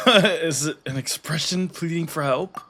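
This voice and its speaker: A man's voice: fairly high pitch, a little slow, pushed and bright. A young man giving casual, slangy, exclamatory reaction commentary as a gaming streamer. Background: faint game music.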